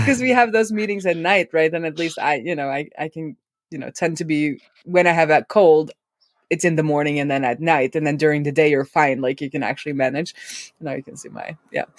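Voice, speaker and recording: A woman's voice: low voice, moderate pace, dry recording, studio quality. Chatty woman with a head cold. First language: Norwegian. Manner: rambling, self-interrupting, laughs often. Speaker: co-host. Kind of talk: casual chat.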